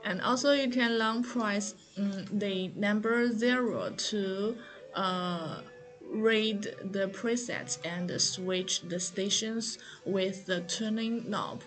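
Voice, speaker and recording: A woman giving an unscripted product tutorial, explaining step by step in a halting, hesitant manner. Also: medium pitch, faint music behind, poor audio. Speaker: product demonstrator.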